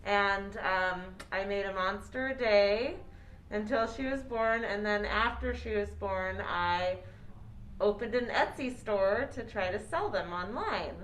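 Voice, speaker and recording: Slow-paced woman telling her story casually. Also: mid-range voice, a bit bright, low-quality recording. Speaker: business founder, interview guest.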